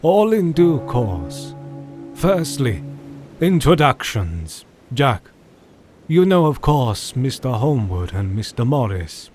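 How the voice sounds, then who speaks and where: An actor playing a grave older doctor and mentor in an audio drama, speaking formally and deliberately. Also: male voice, medium pitch, big pitch swings, a little mellow, soft background music. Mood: grave, courteous. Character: grave older male doctor and mentor, solemn vampire hunter.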